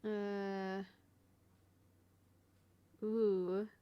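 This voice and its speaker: A woman's voice: mid-range voice, flat delivery, very slow, clean tone, muffled recording. A woman giving casual, laid-back reactions while playing a video game, in short interjections. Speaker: gaming video creator.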